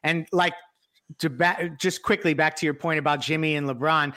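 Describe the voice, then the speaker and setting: A man's voice: on the high side, steady tone, moderate pace, bright, forceful tone. A man giving casual sports analysis as a panelist on a talk show.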